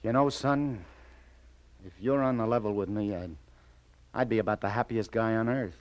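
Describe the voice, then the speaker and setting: An actor playing a hard-boiled but sympathetic American police lieutenant in a 1950s radio crime drama, questioning in a hesitant, folksy way. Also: male voice, lowish voice, moderate pace, dry recording, muffled recording, close to an everyday voice. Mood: probing. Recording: vintage radio drama with music.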